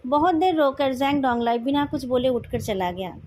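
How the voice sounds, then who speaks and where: High-pitched Indian woman narrating a story. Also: expressive, normal speed, darkish tone, slight background noise. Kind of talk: scripted narration of a novel, retold with acted dialogue.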